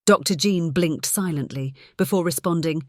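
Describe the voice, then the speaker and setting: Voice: medium pitch, moderate pace, dry recording, clean studio sound. An audiobook narrator reading a scripted story.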